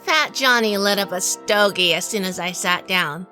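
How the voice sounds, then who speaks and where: A woman's voice: mid-range voice, normal speed, bright, forceful tone, faint music behind, natural voice. A woman playing a sassy, composed businesswoman in a noir audio drama, wry and unflappable.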